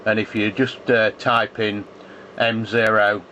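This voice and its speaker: A muffled radio recording with a faint layer of noise carries a fairly low male voice. He talks casually as a ham radio operator, with callsigns given in phonetic letters. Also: little pitch movement, slow, sharp tone. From England. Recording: muffled shortwave radio contact, faint static.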